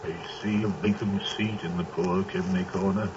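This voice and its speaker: A man playing a solemn Christmas spirit in a 1930s radio play, speaking in grave, measured pronouncements. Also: low-pitched, fairly even intonation, distant mic, background noise, lightly acted. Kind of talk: 1930s radio drama acting. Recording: dull old radio broadcast from off-mic, with music.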